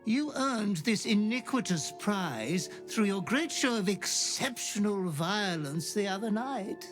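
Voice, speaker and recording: A man's voice: high-pitched, animated, slow pace, over music. An actor playing an obsequious demon butler who gleefully fawns over his murderous master in a video game. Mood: gleeful fawning. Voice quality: fawning, gleefully ghoulish.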